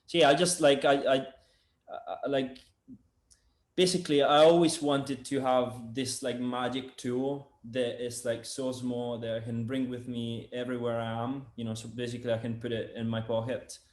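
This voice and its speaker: A man's voice: medium pitch, level tone, normal speed, a little mellow. Man, rambling, fillers, roomy echo. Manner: rambling, many fillers and restarts. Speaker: sound engineer and product developer, guest. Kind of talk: casual interview chat.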